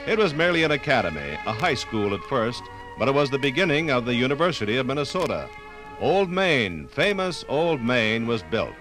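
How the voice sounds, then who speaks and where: A faint bed of music sits under a 1950s radio recording of an American man narrating. His mid-range voice is animated and formal, with theatrical documentary phrasing. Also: medium pitch, poor audio.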